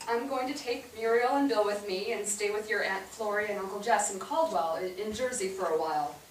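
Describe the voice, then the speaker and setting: A woman on stage playing a weary mother who gently but firmly explains hard news to her sons. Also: mid-range voice, fairly quick, natural voice. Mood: gentle, explaining. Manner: gentle but firm, matter-of-fact maternal explaining. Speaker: stage actor. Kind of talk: staged reading of a period family drama. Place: indoor theatre stage, recorded from afar. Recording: roomy theatre, distant mic, hissy background.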